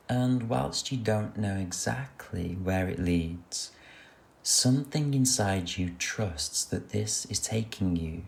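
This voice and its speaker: A man leading a guided meditation with gentle, soothing, unhurried instruction. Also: lowish voice, vocal fry. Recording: soft music under the voice. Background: music.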